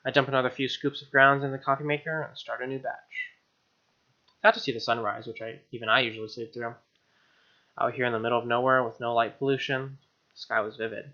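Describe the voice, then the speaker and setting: Gravelly, bright-voiced man reading a game. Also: mid-range voice, dull audio. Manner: informal, slangy commentary. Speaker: gamer reading a visual novel aloud. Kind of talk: dramatic reading with casual asides.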